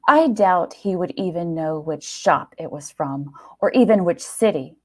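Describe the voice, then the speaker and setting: A woman's voice: fairly low pitch, animated, measured pace. A woman voicing a jilted boyfriend, sarcastic, accusing and indignant. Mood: bitter, accusing. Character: Gary, bitter jilted boyfriend, sneering and indignant.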